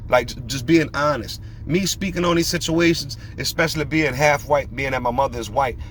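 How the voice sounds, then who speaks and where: A man's voice: mid-range voice, a little hiss or hum. Preachy, emphatic male podcast host. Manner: emphatic, preachy, fires rhetorical questions.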